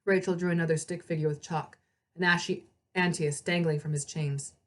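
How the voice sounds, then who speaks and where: A woman reading a story aloud as narrator, in an expressive storybook style. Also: fairly low pitch, moderate pace.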